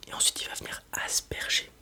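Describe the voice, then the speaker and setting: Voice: fairly quick, sharp tone. A person whispers an ASMR roleplay as a breeder advising a customer, explaining informally step by step with hesitations and little check-ins.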